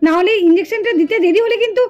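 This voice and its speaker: Woman acting a high-voiced, scolding doctor doll. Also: very fast, darkish tone, lo-fi sound. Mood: insistent. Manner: scolding, tutting, insistent. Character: stern scolding female doctor doll, exasperated and bossy. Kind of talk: melodramatic puppet cartoon acting. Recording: music behind the voice.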